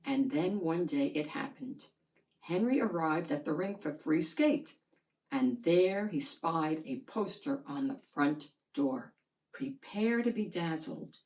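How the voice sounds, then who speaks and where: A woman reading a children's picture book aloud in an expressive, deliberate storytelling manner, drawing out words. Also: low-pitched, low-quality recording.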